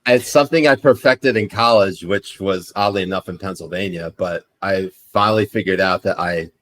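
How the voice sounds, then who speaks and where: A man's voice: low-pitched, moderate pace, a bit bright. A man in his thirties talking casually as a podcast guest, rambling with many fillers. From United States, partly raised in Pakistan. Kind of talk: casual chat.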